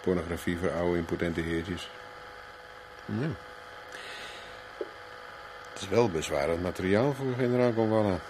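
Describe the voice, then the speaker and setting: An actor playing a wry, unruffled private investigator in a 1970s Dutch radio play, bantering casually with a sardonic edge. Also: male voice, deep voice, a little slow, long pauses. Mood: musing. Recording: noisy vintage radio drama recording.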